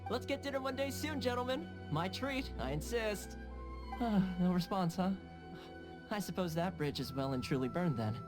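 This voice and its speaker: An actor playing an affable, easygoing young clan fixer in a video game, smooth-talking and teasing. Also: male voice, high-pitched, lively intonation, normal speed, music in the background. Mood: breezy, joking. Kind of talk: English video game dub acting.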